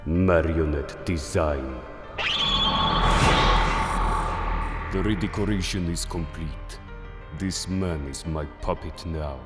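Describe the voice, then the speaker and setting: A man playing a grave, merciless mystic judge in an audio drama, speaking in an ominous, deliberate manner. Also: a bass voice. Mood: scheming. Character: solemn, merciless mystic judge passing sentence on souls. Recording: long cavernous reverb with music behind. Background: music.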